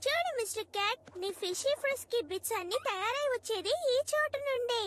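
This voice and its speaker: Squeaky, sweet little cartoon girl. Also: expressive, brisk, slight background noise. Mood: pleading. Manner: childish, sing-song. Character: sweet, eager little girl doting on her cat. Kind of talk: Telugu cartoon dub. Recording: loud music bed under the voice. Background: loud cartoon music.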